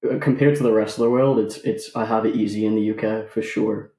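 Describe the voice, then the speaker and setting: A man's voice: on the low side, fairly even intonation, quick pace, mellow, echoey room. A twenty-six-year-old man from Ireland with a mixed Irish and English accent, speaking as a podcast guest in an easygoing, self-deprecating way with hesitant "um"s. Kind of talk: casual interview.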